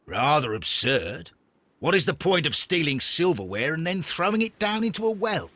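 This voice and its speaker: A man's voice: a little high, sing-song, normal speed, pushed and bright, exaggerated voice. A man playing a baffled, blunt Victorian police inspector in a video game. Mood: incredulous. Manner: incredulous, blunt. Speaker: video game supporting character. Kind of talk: scripted game dialogue.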